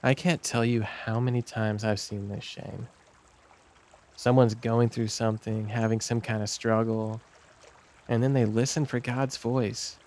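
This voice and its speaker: A man's voice: mid-range voice, flat delivery, unhurried, darkish tone, lightly acted. Man playing an earnest, placating church camp counsellor. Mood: earnest, preachy. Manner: hesitant, reassuring. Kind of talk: naturalistic audio drama acting.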